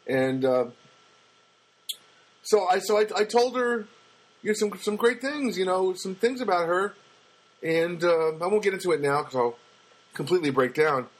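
A man with a booming voice telling a personal story in a casual monologue, halting often with fillers and restarts. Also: animated, rough recording. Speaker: storyteller recounting a personal loss.

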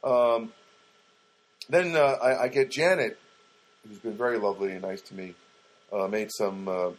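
A man's voice: on the low side, a little slow. A middle-aged man casually telling a rambling, wry personal story and acting out what people said. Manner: rambling, wry, acts out quoted lines.

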